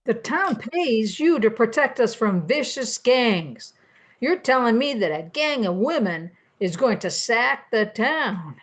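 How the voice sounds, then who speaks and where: An older woman playing a brisk, demanding small-town mayor in an amateur radio western comedy. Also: on the high side, sing-song, full character voice. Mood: indignant. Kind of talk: amateur old-time radio comedy acting.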